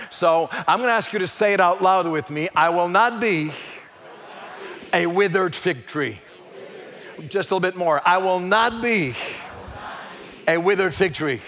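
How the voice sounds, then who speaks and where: Preacher, halting English. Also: male voice, high voice, long reverb tail. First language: French. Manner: halting, with many fillers and restarts; lively, joking with the congregation. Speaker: guest preacher. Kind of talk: church sermon. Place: large church sanctuary.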